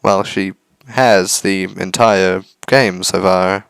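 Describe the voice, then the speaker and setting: A man reading a visual novel aloud as a let's-play host, with measured, earnest narration. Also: deep voice, steady tone, vocal fry, fairly bright tone, clear, resonant tone, no room sound. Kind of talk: dramatic read-aloud narration with casual asides.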